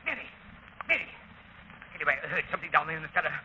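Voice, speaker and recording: A man's voice: a little high, very animated, very fast, dull audio, noisy background. An actor playing a nervous male butler with no wish to investigate, in 1930s radio style on an old broadcast. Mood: uneasy, grumbling. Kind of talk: 1930s radio mystery acting.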